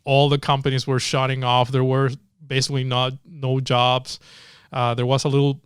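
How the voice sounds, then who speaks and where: A man's voice: medium pitch, monotone, normal speed, smooth, no echo, clean studio sound. A man in his forties from Venezuela, a tech entrepreneur telling his story in a casual interview, hesitant with many fillers and restarts.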